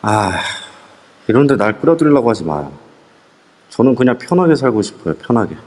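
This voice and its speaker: A man's voice: mid-range voice, big pitch swings, brisk, a little mellow, long reverb tail. An actor playing a timid, reluctant younger man in an audio drama, talking hesitantly and drawing out his words. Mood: fearful. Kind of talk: amateur audio drama acting.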